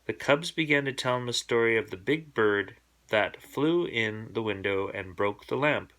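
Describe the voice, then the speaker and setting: Male storybook narrator, bright forward voice, expressive bedtime read-aloud for young children. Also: on the low side, moderate pace.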